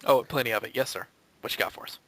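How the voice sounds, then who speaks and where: Bright-voiced, snarky man chatting. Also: medium pitch, fast talker, no room sound. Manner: snarky, sweary, mock-exasperated. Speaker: member of a gaming commentary group. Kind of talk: rambling casual group chat.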